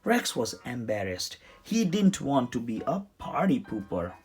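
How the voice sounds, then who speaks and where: A man reading a children's story aloud in an expressive, dramatic storytelling manner. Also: mid-range voice, a little slow. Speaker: storybook reader. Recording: music behind the voice. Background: music.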